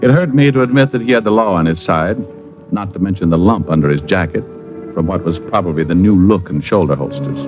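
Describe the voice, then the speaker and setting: A man's voice: low-pitched, a little fast, close to an everyday voice. An actor playing a wry, wisecracking private detective who narrates his case in hard-boiled old radio style. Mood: wry narration. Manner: wry, flirtatious, smart-aleck. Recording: muffled old radio recording with music.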